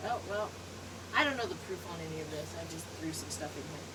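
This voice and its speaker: A woman's voice: deep voice, expressive, fast. A woman with a small, light voice, chatting and giggling as a guest during a casual porch drink. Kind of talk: casual chat while drinking. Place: outdoor porch. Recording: distant phone mic, noisy outdoors.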